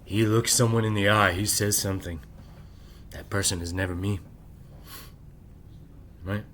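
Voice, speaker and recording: A man with a low-set voice speaks in a loose, rambling late-night monologue and keeps checking in with a repeated "right?". He holds the volume down at home, and a faint hiss of room noise sits behind him. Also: steady tone, a little slow, a bit bright. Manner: keeps voice down while family sleeps. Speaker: channel host.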